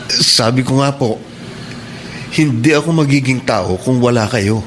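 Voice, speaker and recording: A man's voice: medium pitch, a little slow, a bit bright, a little hiss or hum, close to an everyday voice, low-quality recording. A man acting a respectful, forgiving young son in a Tagalog radio drama, polite and earnest. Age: young adult. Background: dramatic music.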